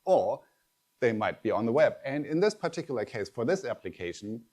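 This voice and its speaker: A middle-aged man whose first language is German, giving a lively, conversational tech conference talk and addressing the audience directly. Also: mid-range voice, brisk. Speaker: conference speaker.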